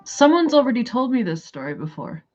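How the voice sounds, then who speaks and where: A woman's voice: fairly high pitch, very animated, brisk, a little mellow, dull audio, faint music behind. A woman with a Canadian accent, chatting casually and rambling to her viewers on a livestream. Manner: rambling, chatty, thinks aloud and answers chat. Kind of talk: casual live chat.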